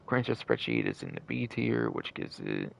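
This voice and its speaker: A man's voice: extremely low pitch, wildly swooping pitch, fairly quick, creaky. A man hosting a game livestream with casual commentary, rambling and often repeating and second-guessing himself.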